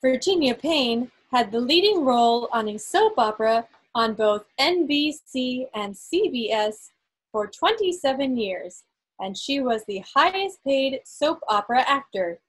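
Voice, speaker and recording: Lady quizmaster on a video call, kinda husky high voice, takes her time reading questions out. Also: animated, low-quality recording. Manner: deliberate, pausing between phrases. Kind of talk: reading quiz questions aloud to an online group. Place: indoors on a group video call. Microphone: video call microphone.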